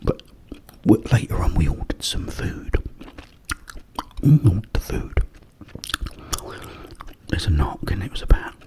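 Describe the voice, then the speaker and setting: A British man speaks in a close, hushed whisper. His delivery is very slow and low, with pauses between short phrases. He rambles casually in the style of an ASMR creator telling a story. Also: big pitch swings. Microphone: close microphone.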